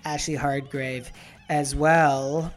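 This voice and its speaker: A man hosting a talk show about opera, chatting in quick, animated bursts. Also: on the high side, soft background music. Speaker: host. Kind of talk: casual chat.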